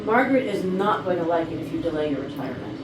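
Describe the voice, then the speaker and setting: A woman's voice: fairly low pitch, very animated, quick pace. A woman playing a dutiful, wary assistant to a company boss in a staged comedy reading. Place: rehearsal room. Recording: noisy echoey room, distant mic.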